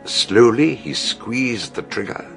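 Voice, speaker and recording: An actor with a British accent playing a shrewd older detective doctor in a radio drama, telling his deductions with emphatic, dramatic pauses. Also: medium pitch, animated, creaky, soft background music. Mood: relishing, dramatic. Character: shrewd, theatrical older male detective doctor explaining a murder.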